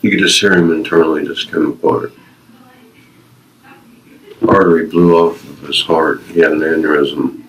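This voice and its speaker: A man's voice: a bass voice, fairly even intonation, normal speed, long pauses, a bit bright, poor audio. A man in his fifties, a retired Navy veteran being interviewed, sharing personal stories and life advice earnestly in short, emphatic, repeated phrases. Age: 50s.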